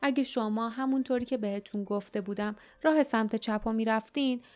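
A woman's voice: fairly high pitch, soft background music, close to an everyday voice. A woman voicing a cunning middle-aged male shopkeeper who talks about himself in the third person in a wheedling way, in an audiobook. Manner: cunning, wheedling, calls himself poor Tom.

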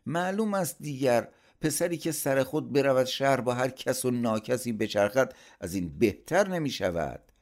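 A man's voice: mid-range voice, expressive, voice pushed up, natural voice. A man voicing a furious, blustering middle-aged uncle who scolds and accuses in a dramatised story. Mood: scornful, furious. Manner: aggressive, indignant, accusing.

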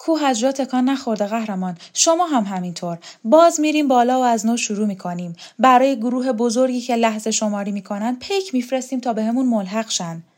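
A woman's voice: on the high side, darkish tone, voice pushed up, lightly acted. A woman voicing a brash, defiant woman revolutionary in an audiobook, taunting and insistent. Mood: urging, defiant. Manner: taunting, insistent, quick-tongued.

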